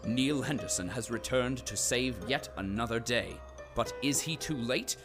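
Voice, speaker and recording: A man's voice: mid-range voice, moderate pace, close to an everyday voice. A man playing a melodramatic radio serial announcer, delivering suspenseful cliffhanger narration. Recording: music behind the voice.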